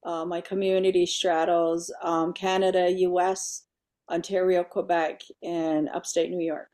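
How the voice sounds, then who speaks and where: A woman on a video call, a remote panelist greeting in a calm, steady way. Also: fairly low pitch, measured pace. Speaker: clan mother, remote panelist.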